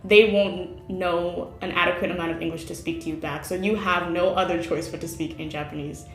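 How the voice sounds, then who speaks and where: A woman's voice: on the low side, fairly even intonation, fairly quick, roomy sound, soft background music. A woman chatting casually in an interview about how she learned a language, laughing often and using lots of fillers.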